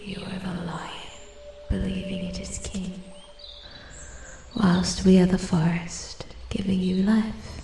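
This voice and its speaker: A woman voicing an ancient immortal forest spirit, haughty yet intrigued, in a soft-spoken ASMR roleplay, with breathy, formal, measured speech that often drops to a whisper. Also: on the low side, little pitch movement, echoey room, soft background music. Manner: slow, measured, formal and condescending. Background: ambient forest sounds, birdsong.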